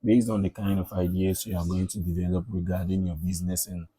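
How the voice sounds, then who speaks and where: A man giving a casual step-by-step software tutorial, often repeating himself and checking in with okay and all right. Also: deep voice, little pitch movement, fairly quick, slightly rough. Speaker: tutorial presenter.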